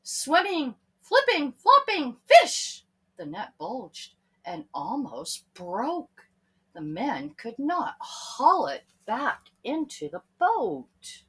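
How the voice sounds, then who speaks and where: Lady reading a kids story, really animated, highish voice w a bit of husk. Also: drawn-out words, husky, poor audio. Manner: expressive, with asides to young listeners. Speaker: children's story reader. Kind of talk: animated read-aloud storytelling for children.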